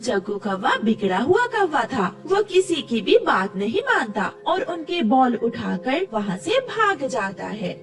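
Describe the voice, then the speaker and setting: High-pitched woman narrating children's story. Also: very animated, a little slow, hardly any pauses, far from the mic, soft background music. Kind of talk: scripted children's cartoon narration.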